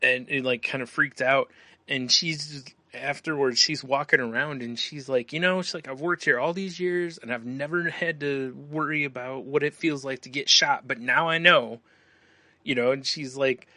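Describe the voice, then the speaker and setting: A man's voice: medium pitch, moderate pace, sharp tone, no echo. A man co-hosting a podcast, telling casual comedic anecdotes in a joking way, often chuckling. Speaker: podcast co-host.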